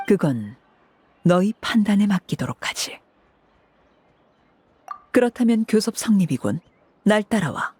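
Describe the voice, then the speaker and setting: A voice actress playing a cold, composed woman commander in a video game, speaking in a measured, detached and authoritative way. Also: medium pitch, very animated, husky, full character voice. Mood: cool, businesslike. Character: cold, composed commanding woman harbinger recounting a grim past. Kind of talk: scripted video game voice acting. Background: soft background music.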